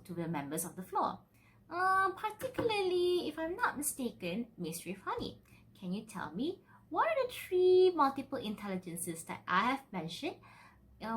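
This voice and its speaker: A young woman giving a scripted academic presentation as a student, in a formal manner with emphatic enunciation. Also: a little high. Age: young adult.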